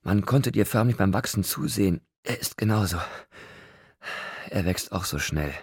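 Man voicing a frail, tender young woman, pitched up. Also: animated, normal speed, no echo, studio quality. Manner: breathy, strained, tender. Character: frail, gentle young pregnant woman, tender despite pain.

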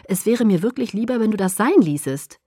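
A woman's voice: medium pitch, animated, brisk, mellow, slightly rough, no room sound, studio quality. A woman reading the part of a displeased, protective young man in an audiobook, curt and stern. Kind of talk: audiobook character acting.

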